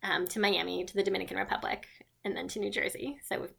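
A woman's voice: medium pitch, steady tone, quick pace, gravelly, pushed and bright, husky. A woman who writes children's books, speaking earnestly in a radio interview, with many fillers and restarts. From born in Venezuela, raised in South America and the US. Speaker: children's book author.

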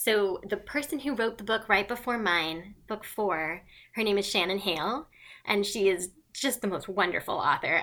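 Woman, bright tense voice, earnest, halting, lots of fillers, interview guest. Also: medium pitch. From born in Venezuela, raised in South America and the US. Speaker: children's book author.

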